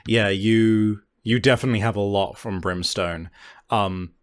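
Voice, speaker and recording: A man running a tabletop game as game master, narrating casually in an informal, halting way with the odd swear word. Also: fairly low pitch, steady tone.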